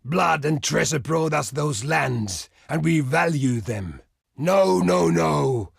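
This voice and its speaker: A proud barbarian chieftain from a strategy video game. His voice sits a little high for a man and is thick with gravel and husk, recorded close and dry. He declaims solemnly and defiantly in old-fashioned phrases. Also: lively intonation, takes it slowly, no room sound, heavily acted. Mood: proud, solemn defiance. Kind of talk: dramatic game diplomacy voice acting.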